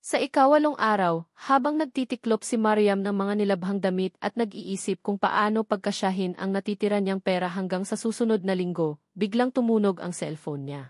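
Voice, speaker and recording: A woman's voice: mid-range voice, a little fast, no room sound, studio quality. A woman with a Filipino accent reads a scripted romantic drama in a storytelling narration style.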